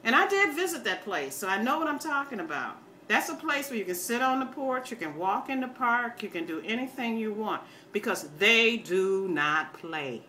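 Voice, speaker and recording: An older American woman talks casually to her online viewers. Her voice is bright and forceful, and her manner is folksy, opinionated and plain-spoken. Some background noise sits behind her. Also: on the high side, very animated, normal speed, hardly any pauses. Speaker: video blogger. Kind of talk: casual rambling chat to viewers.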